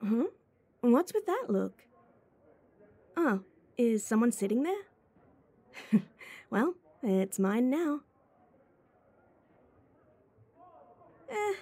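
A woman's voice: mid-range voice, dark tone, husky, no room sound, full character voice. A woman voice actor playing a playful, teasing, clingy schoolgirl childhood friend in a chatty ASMR roleplay. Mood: fond teasing.

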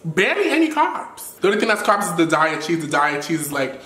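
Sassy high-voiced young man in a kitchen. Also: fairly quick. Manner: sassy, flamboyant, animated, swears a lot. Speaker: vlogger cooking. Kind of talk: casual cooking vlog. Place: home kitchen, indoors. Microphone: camera across the kitchen. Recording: echoey kitchen, mic at a distance. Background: food sizzling in a pan.

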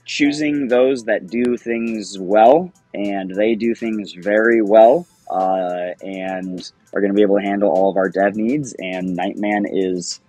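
Thirties man, interview guest on a webcam, chatty and upbeat, low voice, dry and close. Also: fairly even intonation, measured pace, hardly any pauses, mellow, no echo, soft background music, rough recording. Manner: rambling, upbeat, laughs often. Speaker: guest, project founder. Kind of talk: casual interview over video call. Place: home, by a window. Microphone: webcam on video call.

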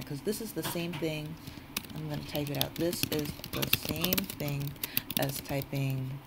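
A woman's voice: deep voice, creaky. A woman giving a casual spoken coding tutorial, informal and thinking aloud as she goes. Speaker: tutorial host. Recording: noisy room with keyboard typing. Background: computer keyboard typing, loud background noise.

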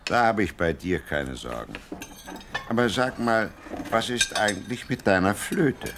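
Deep, gravelly kindly father. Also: expressive, very noisy. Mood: amused, encouraging. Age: middle-aged. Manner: benevolent, measured, fatherly. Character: kindly, devout father of seven sons. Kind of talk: German audio drama acting.

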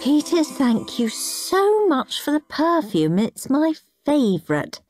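A woman's voice: sits high, sing-song, slow pace, darkish tone, soft background music, pitched above the performer's own voice, exaggerated voice. A woman voicing a doting elderly granny in a children's story, with gushing, hesitant politeness. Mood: politely gushing.

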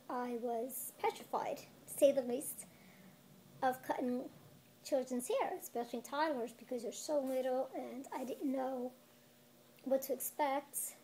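A woman's voice: high-pitched, dark tone. A middle-aged woman explaining her work informally to camera, speaking emphatically like someone telling a story from experience. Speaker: children's barber, shop owner. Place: small barbershop indoors. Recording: faint background room noise.

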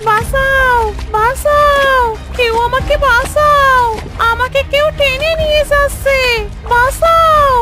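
Panicked small girl, cartoon; extremely high, shrill pleas for help over music. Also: fairly even intonation, slow, sharp tone, full character voice. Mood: terrified. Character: terrified little village girl crying for help. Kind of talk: cartoon acting.

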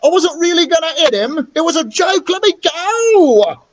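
A man voicing a cowardly rough boy in a storybook reading, howling and pleading in a whine. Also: very high-pitched, lively intonation, normal speed, pitched above the performer's own voice. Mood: pained, pleading. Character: whining, cowardly rough boy bully, yelping.

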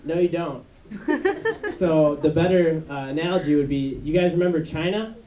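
A young man with a high, unmasculine voice doing a rambling stand-up set on a club stage, with many fillers and restarts. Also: moderate pace, mellow. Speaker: stand-up comedian. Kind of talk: stand-up routine. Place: dark club stage before a live audience. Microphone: handheld mic on a stand. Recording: echoey room, mic from far off.

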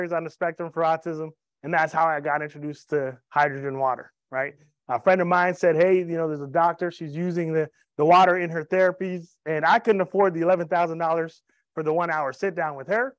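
A man's voice: a little high, dry recording, dull audio. A man in his thirties giving an upbeat, joking sales presentation on stage with a handheld mic, telling personal stories. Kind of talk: sales presentation to a live audience. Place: event room with a stage. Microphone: handheld microphone.

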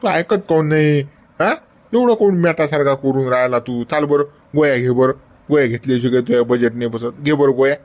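A man's voice: mid-range voice, brisk, lo-fi sound, lightly acted. A man playing an old friend coaxing in a Varhadi accent. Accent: Varhadi Marathi. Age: older. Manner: coaxing, chiding with repeated "गड्या".

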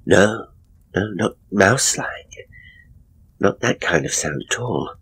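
A man voicing an ailing, frightened married woman who speaks in a halting, hushed way in a horror story. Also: on the low side, expressive, a little hiss or hum, full character voice. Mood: hushed, uneasy.

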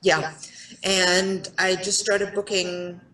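A middle-aged actress with a South African accent, chatting on a video call in a bright room, with a relaxed, self-deprecating manner full of ums. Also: female voice, on the low side, takes it slowly. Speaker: actress, interview guest. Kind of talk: casual video-call interview. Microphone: headset on a video call. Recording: roomy, distant-sounding call audio.

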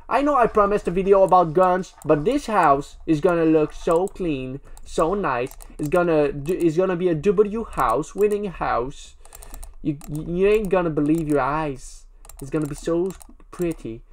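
Rambling, playful man narrating gameplay. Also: on the high side. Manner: rambling, playful, talks straight to viewers. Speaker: gaming video creator. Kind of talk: casual gameplay commentary.

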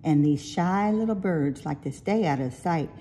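A woman reading a rhyming picture book aloud with expressive, deliberate delivery. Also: low-pitched. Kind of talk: storybook read-aloud in rhyming verse.

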